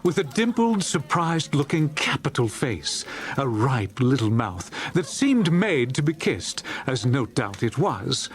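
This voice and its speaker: A man playing a genial storyteller of a Victorian Christmas tale, narrating with a jovial, relishing, expressive manner. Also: a little high, heavily acted. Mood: playful relish. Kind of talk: dramatized audiobook narration.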